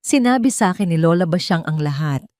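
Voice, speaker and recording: A woman's voice: on the low side, animated, a little fast, a little mellow, no echo, studio quality, lightly acted. A woman playing a wronged mother in an audio drama, cold, determined and defiant. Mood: triumphant, accusing. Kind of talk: Tagalog audio drama dialogue.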